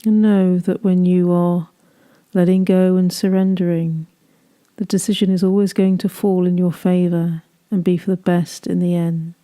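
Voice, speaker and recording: A woman leading a guided meditation, speaking gently in soothing, unhurried phrases that trail off softly. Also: lowish voice, flat delivery.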